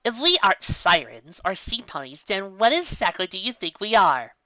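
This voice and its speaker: A woman's voice: medium pitch, sing-song, moderate pace, pushed and bright, no echo, lo-fi sound, pitched above the performer's own voice. A woman voicing a scornful female sea creature who drawls sarcastically. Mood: sarcastic.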